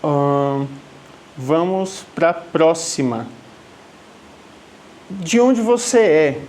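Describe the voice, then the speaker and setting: A man's voice: fairly high pitch, animated, drawn-out words, darkish tone. A man from Salvador, Brazil, who works as a live wedding painter, answering viewer questions to camera in a friendly, reassuring way and often checking in with the viewer. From Salvador, Bahia, Brazil.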